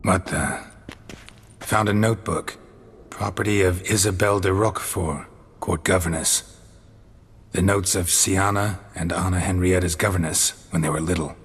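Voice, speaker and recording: A man playing a gruff, wry middle-aged monster hunter in a video game, with a gravelly voice and a terse, dry delivery. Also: low-pitched, fairly even intonation, normal speed, exaggerated voice. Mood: curt, observant. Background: music.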